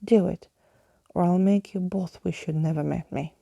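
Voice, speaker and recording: Sulky, biting storybook girl, husky woman's reading. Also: on the low side, steady tone, normal speed, mellow, dry recording, clean studio sound. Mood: icy anger. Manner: blunt, biting, defiant. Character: Daisy, sulky troublesome imaginary girl, bitter and defiant.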